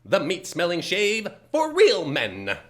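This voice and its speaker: A man playing a bombastic, macho shaving cream pitchman in an old-time radio advertisement, shouting his emphatic hard sell. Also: huge pitch swings, takes it slowly, heavily acted. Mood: loud hard sell. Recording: music behind, live stage.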